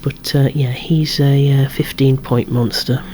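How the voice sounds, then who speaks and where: A person whispering an ASMR show-and-tell of a collection in a hushed, breathy, unhurried way, musing aloud with wry asides. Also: medium pitch, steady tone. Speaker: toy collector presenting a collection.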